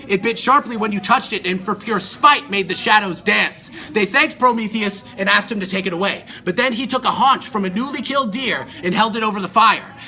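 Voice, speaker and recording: High, very bright male storyteller. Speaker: student performer. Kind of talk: dramatic storytelling of a myth.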